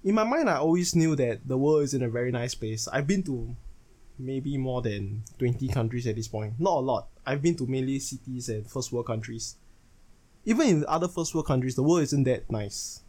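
A Singaporean man in his twenties delivers a solo podcast monologue as host, in measured, phrase-by-phrase fashion. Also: medium pitch, lively intonation, warm tone. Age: 20s.

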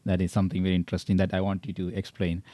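A man with a very deep, warm voice hosts a podcast interview. He asks long, rambling questions, restarting and rephrasing them as he goes, and keeps up encouraging murmurs. The sound is dry and close. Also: completely flat delivery, racing, dry recording. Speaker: podcast host. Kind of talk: interviewing a guest.

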